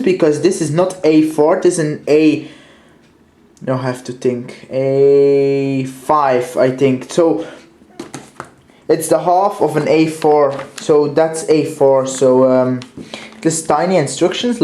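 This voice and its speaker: A man's voice: on the high side, darkish tone, roomy sound. A young man with a Dutch accent casually reviewing a toy train, hesitant and full of fillers and restarts. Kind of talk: casual show-and-tell review.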